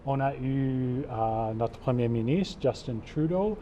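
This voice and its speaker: A man from Calgary with an English Canadian accent, English being his first language, answers a TV interview in careful, halting French. His voice is mellow and warm at a middle pitch, full of fillers. Faint background noise sits underneath. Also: lively intonation. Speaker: guest, club co-chair.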